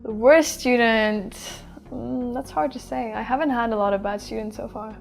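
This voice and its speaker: A woman in her twenties from Sweden, an English teacher answering interview questions in a relaxed, chatty way. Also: on the high side, normal speed, gravelly, faint music behind. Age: 20s. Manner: relaxed, chatty, thinks aloud with fillers.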